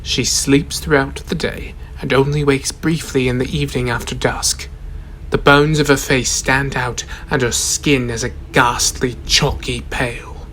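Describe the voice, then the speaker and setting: A man playing a solemn court prosecutor formally reading out evidence in a radio drama, measured and declamatory. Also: medium pitch, steady tone, a bit bright, a little hiss or hum, lightly acted, poor audio.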